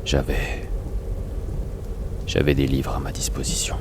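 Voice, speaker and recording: An actor playing a tormented, despairing, haunted man in a psychological thriller audio drama, speaking haltingly in a whispery, gravelly voice. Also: very deep voice, monotone, noisy background. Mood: bleak, regretful. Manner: halting, repeats opening words.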